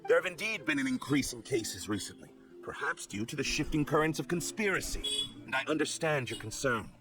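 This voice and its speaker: A man's voice: mid-range voice, expressive, fairly quick, a bit bright, faint music behind, close to an everyday voice. A man playing a blunt, protective patrol captain in a video game, with a stern manner.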